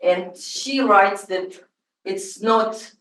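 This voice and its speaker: A woman with a Ukrainian accent, a native Russian speaker, giving an informal, halting and personal lecture as an artist. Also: mid-range voice, a bit bright. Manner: halting, personal, emotional at times. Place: lecture room. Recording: roomy, from far off.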